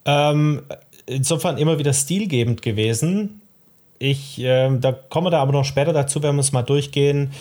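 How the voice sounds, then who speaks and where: A man's voice: fairly high pitch, moderate pace, a little mellow. A man appearing as a podcast guest, talking in a dry, thoughtful way with some hesitation. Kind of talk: casual podcast talk.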